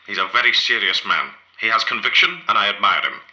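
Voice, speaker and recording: Proud grave poet, audio play, measured and terse, lowish pitch, very sharp tone. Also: male voice, animated, poor audio. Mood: firm. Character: grave, proud male poet, quietly commanding.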